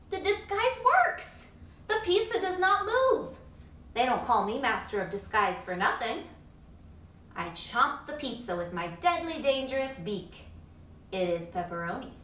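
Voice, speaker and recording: A woman voicing a boastful, hungry little owl in a picture book, with theatrical, pompous, drawn-out emphasis. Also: on the high side, fairly bright tone. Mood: triumphant. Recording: roomy, distant mic, faint background noise.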